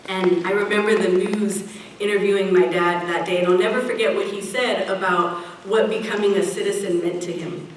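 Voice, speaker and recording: A middle-aged woman delivers a prepared community speech in a measured, earnest way, drawing out the phrases she wants to land. Her voice sits at an ordinary pitch for a woman. It is caught from well back in a very reverberant hall, with a faint hiss underneath. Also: fairly even intonation. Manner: measured and earnest, slowing to stress key phrases. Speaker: event speaker. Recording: echoey hall, picked up from a distance, faint hiss.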